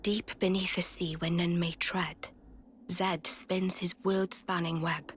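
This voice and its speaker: An actress playing a calm, knowing queen who speaks in a measured, formal way. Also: fairly low pitch, slight background noise. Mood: hushed. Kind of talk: video game cutscene voice acting.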